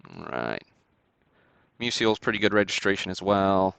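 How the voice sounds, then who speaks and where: A man with a deep, smooth voice hosts a card break stream, reading out items and names in an easygoing, casual way. The sound is very dry and close. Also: sing-song, moderate pace, a bit bright, no room sound. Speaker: host of a sports card break livestream. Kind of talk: casual livestream commentary, calling out cards and buyers.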